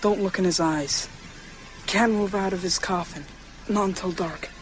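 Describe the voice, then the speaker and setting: A woman's voice: medium pitch, steady tone, moderate pace, faint music behind, close to an everyday voice. A woman playing a bold, earnest young American boy who hunts vampires in a radio drama. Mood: determined.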